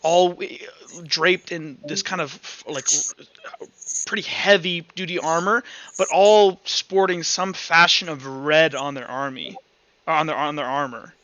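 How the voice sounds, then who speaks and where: Bright-voiced man running a tabletop game. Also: a little high. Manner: halting, repeats and restarts phrases. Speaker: game master. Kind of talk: improvised tabletop game narration. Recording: muffled online voice call with background music.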